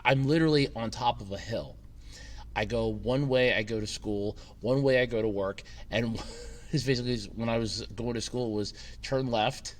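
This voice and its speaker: A man hosting his own channel in a casual question-and-answer chat, a little scratchy from a cold, rambling with fillers and restarts. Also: fairly low pitch. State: slightly scratchy, recovering from a cold. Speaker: YouTube channel host. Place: temporary makeshift room with echo. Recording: echoey temporary room.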